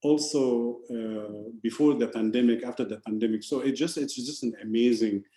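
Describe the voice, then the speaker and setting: A man in his sixties speaks haltingly in a mellow, dark voice. He restarts his phrases and lets them trail off quietly. The sound is roomy, with a clear echo. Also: medium pitch. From Egypt. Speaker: university provost, panelist. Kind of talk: webinar self-introduction. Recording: echoey room.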